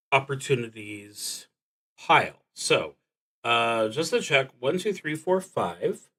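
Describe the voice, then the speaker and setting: A man with a mid-pitched voice talks through a solo roleplaying game. He speaks very slowly and deliberately, pausing often as he reads prompts aloud and thinks through his moves. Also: a bit bright. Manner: halting and deliberate, with long pauses. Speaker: solo tabletop roleplaying streamer.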